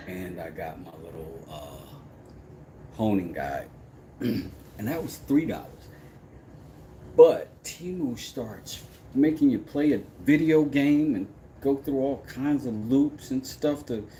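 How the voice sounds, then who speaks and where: A man with a very low voice, hosting a casual live stream, trash-talking his critics and rambling about woodworking. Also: noisy background. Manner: brash, trash-talking, then rambling and relaxed.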